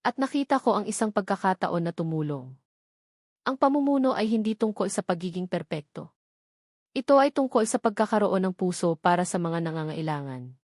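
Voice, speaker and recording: A woman's voice: fairly low pitch, expressive, fairly quick, a little mellow, no echo, studio quality. A Filipino woman voicing a sincere, principled male mayoral candidate in a dramatic story reading. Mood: sincere, resolute.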